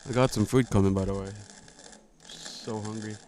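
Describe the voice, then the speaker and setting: Low-voiced young man casually reacting on stream. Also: fairly even intonation, normal speed, a little mellow, a little hiss or hum. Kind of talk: casual livestream reaction chat.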